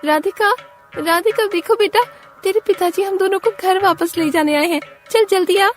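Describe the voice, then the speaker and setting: A woman voicing an excitable, skeptical village wife and mother in an animated moral story, with a shrill, questioning manner. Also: moderate pace, soft background music. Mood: excited.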